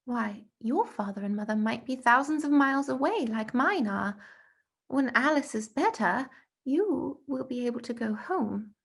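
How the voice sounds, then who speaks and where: A woman's voice: a little high, animated, a little slow, creaky, fairly bright tone, husky, full character voice. A woman voicing a kindly, loyal young schoolboy in a storybook, earnest and a little hesitant. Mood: consoling.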